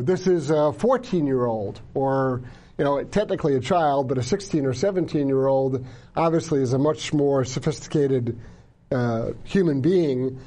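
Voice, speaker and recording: A man's voice: medium pitch, warm tone. A man hosting an interview, speaking emphatically and often repeating words as he starts a sentence. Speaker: interviewer, show host.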